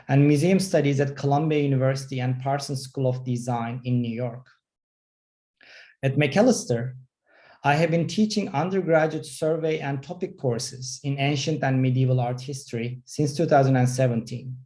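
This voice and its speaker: A man in his forties from Turkey, an art history professor giving a formal prepared self-introduction in a measured, steady way. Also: mid-range voice.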